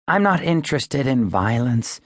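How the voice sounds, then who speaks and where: A man's voice: mid-range voice, very animated, measured pace, dry recording, studio quality. A man voicing an eager, impatient teenage girl fighter in an audiobook, with a tight, challenging manner. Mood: tight, defensive. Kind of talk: audiobook character dialogue.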